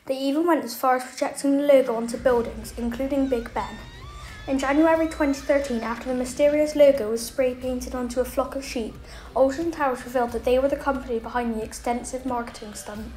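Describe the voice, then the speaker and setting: High-pitched woman narrating over music. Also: fairly even intonation, a little fast, a little mellow, poor audio. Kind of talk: scripted documentary narration.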